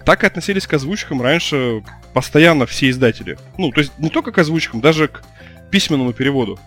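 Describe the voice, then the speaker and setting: A man co-hosting a casual podcast, telling stories in a fast, animated way. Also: fairly high pitch, faint music behind. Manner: fast, animated, rising into excited retelling. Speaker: podcast co-host. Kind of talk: casual storytelling chat.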